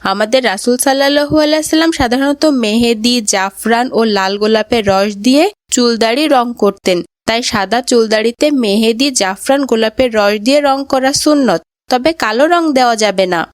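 A woman plays a young grandchild in a cartoon tale. The voice is high, excitable and earnest, with polite phrasing. It is recorded dry, without room sound. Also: moderate pace, dry recording, lightly acted. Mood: earnest, instructive. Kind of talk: cartoon moral story dialogue.

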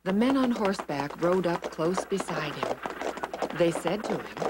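A woman narrating a scripted children's story with simple, expressive phrasing. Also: lowish voice, unhurried, very noisy.

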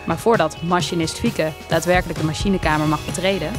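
A woman with a fairly low voice narrating a scripted voice-over, steady and even. Loud music plays under her reading. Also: fast talker. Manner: steady, read-aloud delivery.

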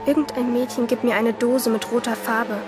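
A woman's voice: fairly high pitch, steady tone, normal speed, over music, lightly acted. A woman playing a rebellious, lonely teenage girl who narrates her thoughts intimately in a German radio play. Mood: shaken.

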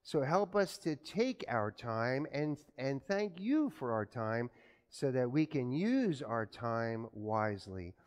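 A pastor giving a children's sermon in a church, telling an animated story and asking the children questions. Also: male voice, mid-range voice, takes it slowly. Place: church sanctuary. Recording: echoey church from far off.

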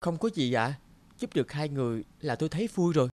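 A man's voice: fairly high pitch, big pitch swings, quick pace. An actor playing a humble, remorseful young man in a cartoon, earnest and polite.

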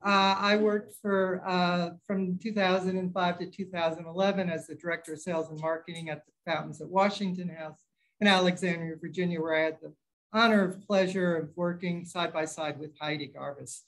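A woman's voice: on the low side, fairly even intonation, a little fast, low-quality recording. A chatty woman introduces herself to a room of colleagues. She talks freely and laughs at her own slips, heard through a camera across the room. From New York. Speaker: care manager. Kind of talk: self-introduction at a meeting. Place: senior living common room.

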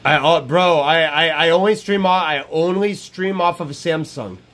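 A man with a slightly high voice talks loosely and profanely on a live stream from a small room. He circles back and repeats his points, bragging and riffing with chat. His earbud mic sits close to his mouth. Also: moderate pace, a bit bright. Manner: brash, profane, repeats himself. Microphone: wired earbud headset mic.

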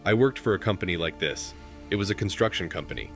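A man in his thirties hosting a commentary show, reading posts aloud in a steady, measured way. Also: deep voice, gravelly, faint music behind. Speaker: commentary host.

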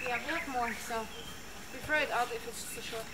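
A woman's voice: fairly high pitch, fairly even intonation, a little fast. A woman in her thirties chatting casually as host of an outdoor vlog. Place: outdoors in dense jungle by a river. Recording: clear insect, bird and forest noise.

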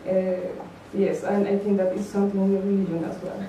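Woman on a panel, halting english, far away in an echoey hall. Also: mid-range voice, little pitch movement, warm tone, slight background noise. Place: conference hall.

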